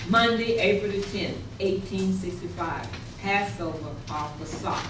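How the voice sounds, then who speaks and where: A woman's voice: medium pitch, unhurried. Echoey female announcer, formal delivery. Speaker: announcer of dates and scenes. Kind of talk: scripted announcements in readers theater. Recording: echoey, noisy room, distant mic.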